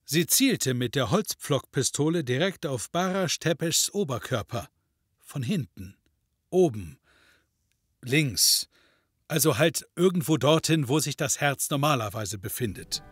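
A man with a husky voice giving dramatic scripted narration with dry comic touches, as an audio drama narrator. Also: mid-range voice, animated, measured pace, no room sound.

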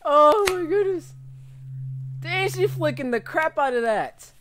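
A man's voice: extremely high pitch, animated, measured pace, noisy background. A man in his twenties doing casual, slangy, exclaiming reaction commentary, close on a microphone. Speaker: reaction video host. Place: small bedroom, indoors. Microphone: close mic on arm with pop filter, headphones.

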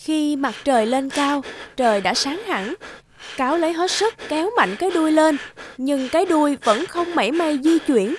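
A woman's voice: high voice, lively intonation, moderate pace. A woman reading scripted fairy-tale narration as a storyteller.

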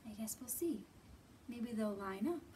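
A woman in her thirties presenting a children's storytime as a librarian, talking simply to small children with drawn-out words and thoughtful hums. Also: fairly high pitch, animated, warm tone, a little hiss or hum. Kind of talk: children's storytime teaching, read-aloud. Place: indoors in a library.

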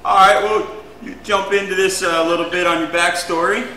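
An easygoing male host with a fairly high, bright voice who leads the interview with short, simple questions. His voice rings in a roomy, echoey space. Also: steady tone, fairly quick, a little hiss or hum. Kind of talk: casual interview.

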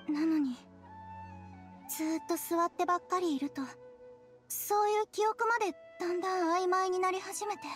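A woman voicing a frail, wistful and earnest little girl in an anime game. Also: very high-pitched. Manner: soft-hearted, childlike, earnest.

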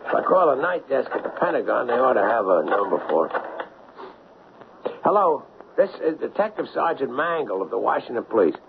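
Hard-nosed police sergeant, radio play; urgent and blunt, muffled noisy broadcast sound. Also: male voice, medium pitch, big pitch swings, a little fast, creaky. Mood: urgent, exasperated. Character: hard-nosed, impulsive, harried male police detective sergeant. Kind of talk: radio drama acting.

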